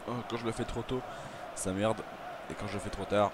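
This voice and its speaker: A man's voice: lowish voice, steady tone, moderate pace, very noisy. A man with a cold, chatting loosely through gameplay commentary with a stuffy, coughing voice. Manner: rambling, chatty, self-interrupting. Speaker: gaming video host.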